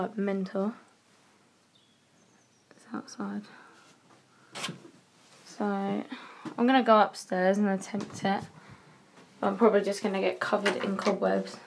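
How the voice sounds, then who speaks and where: A woman's voice: medium pitch, gravelly, slight background noise. A young woman with a nervous, whispery manner, chatting casually while exploring an empty house on a phone live stream. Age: young adult. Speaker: urban explorer vlogger. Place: abandoned house indoors. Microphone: phone held at arm's length.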